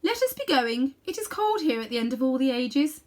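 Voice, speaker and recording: A woman's voice: high voice, big pitch swings, a little fast, a little mellow, pitched above the performer's own voice. A woman reading a storybook as an imperious, pompous evil witch queen who commands with grand contempt. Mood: grand, cold.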